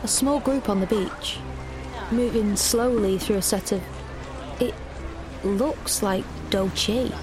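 A woman's voice: mid-range voice, slow pace, pauses often, music in the background, natural voice. A woman playing a wary, searching young woman who narrates her own story in an audio drama. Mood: disbelieving.

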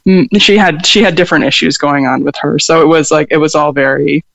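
A woman's voice: low voice, steady tone, brisk, vocal fry, fairly bright tone, dry recording. An American woman from upstate New York and Vermont, speaking as a songwriter in an interview, hesitant, with many fillers and restarts. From upstate New York, raised in Vermont. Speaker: songwriter guest. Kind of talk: interview conversation.

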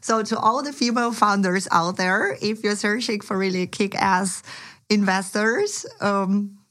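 A woman's voice: mid-range voice, measured pace, a bit bright. A woman from Ukraine with a Ukrainian accent, co-hosting a casual interview chat about investing and often restarting her sentences. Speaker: podcast co-host, angel investor.

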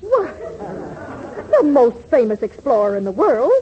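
A woman playing a bossy, excitable middle-aged mother with imperious airs, in 1940s radio comedy style. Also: a little high, measured pace, a faint crowd, slight background noise. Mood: excited, gushing. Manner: imperious, gushing. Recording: muffled 1940s radio recording.

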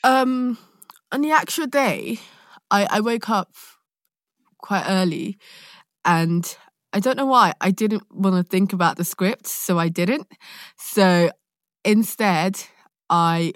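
A young woman chatting casually as a podcast guest, telling stories in an animated way and laughing readily. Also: mid-range voice, takes it slowly, pauses often, no echo, clean studio sound. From London, born in Nigeria.

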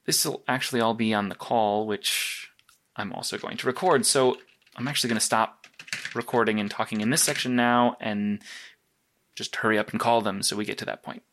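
An actor playing a nervous, earnest superhero investigator in an audio drama, speaking in a halting, analytical way. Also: male voice, lowish voice, fairly even intonation, fairly bright tone, a little hiss or hum. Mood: earnest, absorbed. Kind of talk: naturalistic audio drama monologue and phone calls.

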